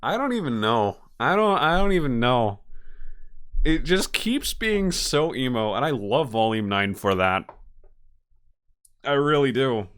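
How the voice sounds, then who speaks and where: A man hosting a reaction video with casual, unscripted commentary, halting and emotive, often repeating his phrases. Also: mid-range voice, big pitch swings, a bit bright, clear, resonant tone.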